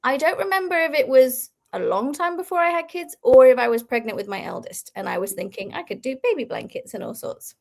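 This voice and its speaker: A woman's voice: high-pitched, sing-song, a little fast, creaky, hardly any pauses. A woman in her thirties who grew up in Belgium, chatting casually and laughing often as an interview guest. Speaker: guest crochet designer.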